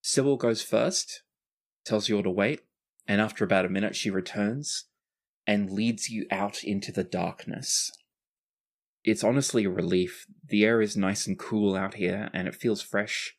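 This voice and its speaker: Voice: deep voice, a little slow. A game master narrating a tabletop roleplaying game in a casual way, with some swearing.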